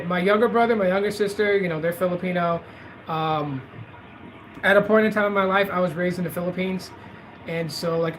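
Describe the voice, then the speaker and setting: A man hosting a livestream, rambling in a loose, repetitive way and drifting between louder and quieter stretches. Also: high-pitched, slight background noise, low-quality recording. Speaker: livestream host. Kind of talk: casual livestream rant.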